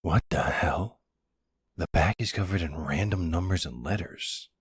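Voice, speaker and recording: Casting: male actor as supportive boyfriend for intimate roleplay audio; low, very husky voice, halting and gently questioning. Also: no room sound, studio quality, full character voice. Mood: puzzled, wary. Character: supportive, puzzled boyfriend of a werewolf, adult man.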